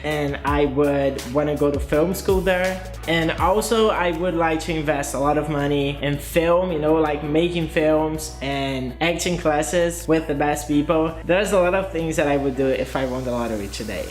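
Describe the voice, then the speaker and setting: A man's voice: fairly high pitch, moderate pace, hardly any pauses, reverberant, faint music behind. A man in his twenties from Brazil, telling his story playfully and answering interview questions. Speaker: guest YouTuber. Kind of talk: scripted vlog monologue and interview answers.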